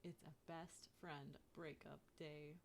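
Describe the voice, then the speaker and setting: A woman reading a children's picture book aloud in an expressive way, turning sing-song on chants. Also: sits low, takes it slowly, creaky. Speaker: storybook reader.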